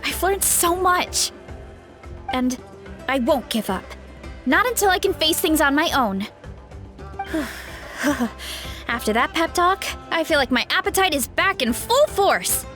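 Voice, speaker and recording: A sweet young heroine from a video game. Her girlish voice is pitched high, earnest and brave with a streak of self-doubt. Faint music sits behind her. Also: animated, measured pace, long pauses, fairly bright tone. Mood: grateful, determined. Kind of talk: animated video game voice acting.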